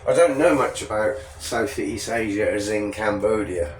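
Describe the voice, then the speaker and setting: A man's voice: lowish voice, normal speed, a little hiss or hum. A man with a stuffed-up, sniffly nose, chatting in a rambling, self-correcting way while filming a travel vlog from a Ferris wheel cabin at night. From England. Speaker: travel vlogger. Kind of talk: casual vlog narration.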